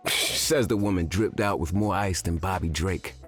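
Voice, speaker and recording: A man voices a brusque young hero for a video game. He has a very low voice and streetwise delivery, with light music underneath. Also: normal speed, lightly acted. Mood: brusque, teasing. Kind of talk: video game character dialogue.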